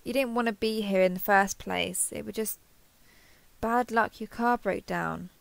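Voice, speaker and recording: A woman's voice: mid-range voice, unhurried, gravelly, no room sound. A woman playing a kindhearted, awkward young woman who gets easily flustered, speaking hesitantly and earnestly in an intimate roleplay audio drama.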